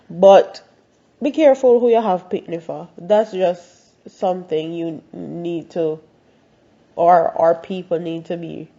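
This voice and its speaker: A woman's voice: fairly low pitch, mellow, dull audio. A Jamaican woman, congested from a cold, reads news stories aloud and breaks in with blunt, opinionated comments of her own. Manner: blunt, opinionated asides between read-out reports.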